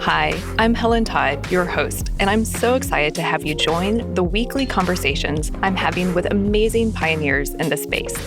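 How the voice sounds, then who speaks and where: A woman's voice: fairly low pitch, animated, normal speed, few pauses, over music. A woman hosting an interview podcast, moving between scripted introductions and conversational questions. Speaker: podcast host.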